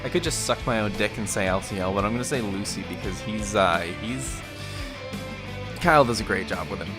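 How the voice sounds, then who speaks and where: Male voice actor, low register, casual Q&A delivery with guitar music underneath. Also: a little fast. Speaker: amateur voice actor in an abridged series. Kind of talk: casual answers to fan questions.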